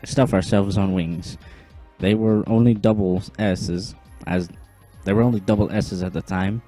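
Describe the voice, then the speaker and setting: A man's voice: on the low side, measured pace, warm tone, faint music behind. A man voicing a popular, folksy middle-aged mayor who gives a chatty speech with a slight put-on accent. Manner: chatty, jokey, public-speaking.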